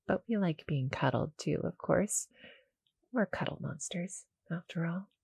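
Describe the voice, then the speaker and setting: A soft, breathy cuddle monster girl played by a woman, speaking slowly and close to the mic in a dry, intimate ASMR roleplay. She is small, sweet and soothing, a little hesitant, and often slips toward a whisper. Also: lowish voice, lively intonation, dry recording, full character voice. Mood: cozy, affectionate. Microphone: close, dry studio-style mic.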